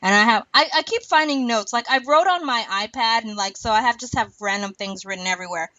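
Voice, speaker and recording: Female podcast host, casual and opinionated, higher register, dry but dull recording. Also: fast talker, pushed and bright, dry recording. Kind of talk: casual discussion of a TV show. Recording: dull, muffled lo-fi audio.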